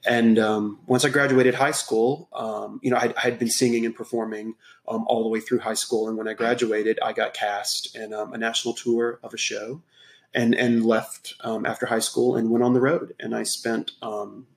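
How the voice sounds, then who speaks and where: A man from Texas, a podcast guest, telling his life story in a relaxed, casual way with many pauses and ums. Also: mid-range voice, vocal fry, roomy sound. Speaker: podcast guest, gym owner. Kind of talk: casual interview talk.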